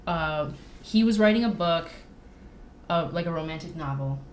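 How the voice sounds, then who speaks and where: A woman's voice: low voice, animated, normal speed, a little mellow. A woman chatting casually and candidly as a podcast guest, in an informal, self-deprecating way. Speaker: band drummer, podcast guest. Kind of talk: casual personal chat. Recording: roomy echo with faint noise.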